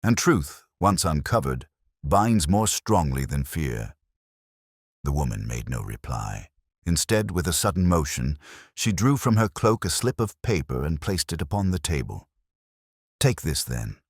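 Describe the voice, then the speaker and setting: Super deep guy reading a detective story, dramatic and measured, lively pitch swings. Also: no room sound, clean studio sound. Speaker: audiobook narrator.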